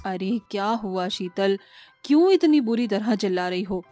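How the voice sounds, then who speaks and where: A woman voicing a scolding middle-aged village mother in an animated moral story. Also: mid-range voice, normal speed, dry recording, faint music behind, lightly acted. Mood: mildly scolding.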